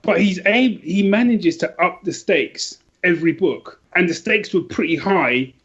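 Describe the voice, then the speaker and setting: The sound is a little dull and lo-fi. A man with a fairly high voice chats as a podcast guest about books, enthusiastic and emphatic, looping back and restarting as he goes. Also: a little slow, fairly bright tone, husky. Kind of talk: casual book chat.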